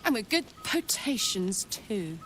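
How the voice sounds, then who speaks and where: A blunt, big-hearted warrior woman from a game. She talks at a slow, easy pace in a middle-pitched voice, earthy and heartfelt. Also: sing-song, fairly bright tone, a little hiss or hum. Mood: joyful, heartfelt. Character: hearty, blunt, warm-hearted female fighter escaped from hell. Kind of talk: video game character acting.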